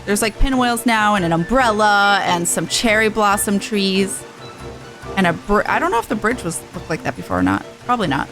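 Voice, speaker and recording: A woman's voice: medium pitch, animated, normal speed, music in the background, rough recording. A woman streaming a video game, giving informal, sweary, casual commentary. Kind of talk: casual livestream commentary and dialogue read aloud.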